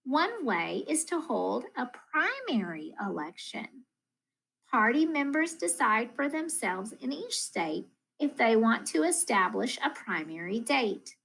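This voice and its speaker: Female storybook reader, school-educator read-aloud. Higher register, unhurried pace, rhythmic and expressive delivery of children's verse. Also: husky, rough recording. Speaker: school math coach reading aloud.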